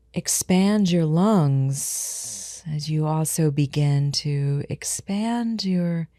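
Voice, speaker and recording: A woman guides a meditation at a slow, unhurried pace. Her voice is strikingly low and soft-edged in tone, and she gives each instruction gently and soothingly. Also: no room sound, clean studio sound.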